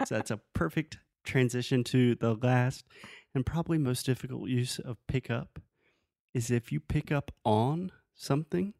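A man's voice: medium pitch, normal speed, vocal fry, darkish tone, no room sound, clean studio sound. A man who is a native English speaker, teaching in a casual conversational way with everyday examples and checking that the listener follows. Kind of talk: casual conversational language lesson.

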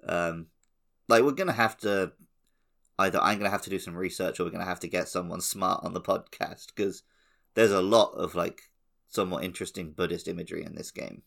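A man's voice: sits low, brisk, vocal fry, a bit bright. A man co-hosting a video game podcast in an informal, slangy, conversational way. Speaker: podcast co-host. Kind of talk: casual video game chat.

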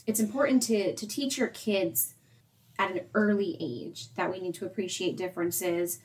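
A young woman co-presenting, talking parents through advice in a steady, explanatory way and addressing them directly. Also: on the low side, normal speed. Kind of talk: semi-scripted advice talk.

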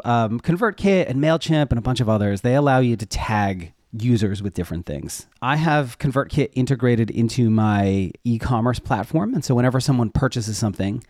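A man's voice: mid-range voice, a little mellow, no room sound. Casual man explaining things, dry close sound. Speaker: interview guest, web developer and educator. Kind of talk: casual explanatory interview talk.

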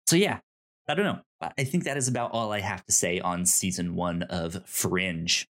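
A man co-hosting a podcast in casual chat, halting and repeating words as he thinks. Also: low voice, a bit bright. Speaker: podcast co-host. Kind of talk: casual chat reviewing a TV show.

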